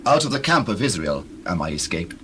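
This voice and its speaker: An actor playing a subdued young messenger from a battlefield, gravely recounting in a scripture audio drama on old cassette. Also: male voice, deep voice, very animated, fairly quick, sharp tone, full character voice. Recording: noisy old cassette tape recording.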